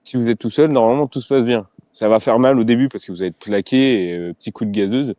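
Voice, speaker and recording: A man speaking as a riot police officer in a debate, talking frankly and haltingly and often repeating phrases as he searches for words. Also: medium pitch, mellow, no room sound.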